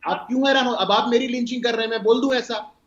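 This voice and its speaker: A man's voice: very high-pitched, fast, a bit bright, slight background noise. An Indian man speaking as a party spokesperson in a televised political debate, emphatic, lecturing and argumentative. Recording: roomy, dull lo-fi sound.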